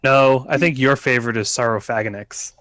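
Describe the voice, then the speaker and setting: Guy with an average pitched voice, just chatting on a group stream over video call. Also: a little fast, a bit bright. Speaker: livestream participant. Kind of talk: casual group chat.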